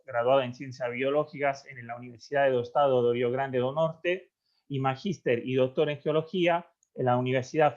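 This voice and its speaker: A man opens an online academic session as moderator. He speaks haltingly, leaning on fillers between phrases. The call audio sounds dull and muffled. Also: mid-range voice. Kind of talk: formal event introduction. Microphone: video call.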